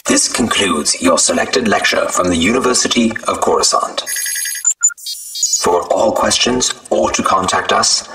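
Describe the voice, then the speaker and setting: A man's voice: deep voice, measured pace, background noise. A man reading a scripted announcement as an announcer.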